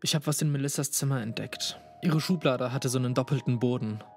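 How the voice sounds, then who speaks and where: An actor playing a hesitant young student investigating a disappearance, stumbling over fillers, in a fan audio drama. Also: male voice, mid-range voice, fairly quick, soft background music, natural voice.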